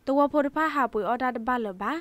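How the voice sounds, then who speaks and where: A woman's voice: a little fast, a bit bright, no echo. A woman radio news presenter reading scripted news.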